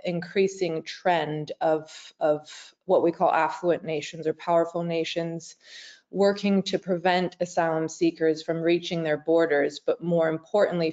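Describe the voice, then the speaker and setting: Low-voiced raspy woman on a video call. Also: little pitch movement, a little mellow. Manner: measured, hedging with "sort of". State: raspy voice today. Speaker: research director. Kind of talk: webinar presentation. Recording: dull lo-fi video call audio.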